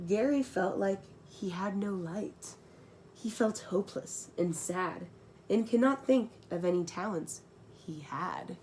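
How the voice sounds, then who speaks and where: A woman's voice: medium pitch, lively intonation, takes it slowly, a little mellow, a little hiss or hum, low-quality recording. A young adult woman reading a rhyming children's storybook aloud, breaking into slangy, casual, giggly asides.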